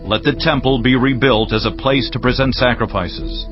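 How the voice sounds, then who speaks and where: Commanding Persian king, male actor, mid-to-low formal delivery of decrees, measured and stern. Slightly muffled, with music. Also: lightly acted. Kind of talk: dramatized scripture reading. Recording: dull lo-fi audio under clear music.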